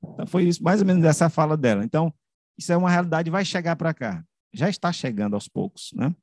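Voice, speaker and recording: A man speaks in a somewhat high register, explaining his points like a researcher on a panel. He circles back, rephrases and poses questions that he then answers. The sound is close and very dry, from a big microphone on a video call. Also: animated, a little mellow, no room sound. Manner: halting, repeats phrases and rephrases, rhetorical questions. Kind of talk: explanatory academic discussion. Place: room with bookshelves, on a video call. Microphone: large microphone close to the mouth.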